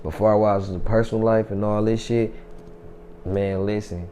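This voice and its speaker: Low flat-voiced man in his twenties. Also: normal speed, a little hiss or hum. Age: 20s. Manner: loose, profane, boastful street talk. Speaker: rapper guest. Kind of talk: casual interview talk.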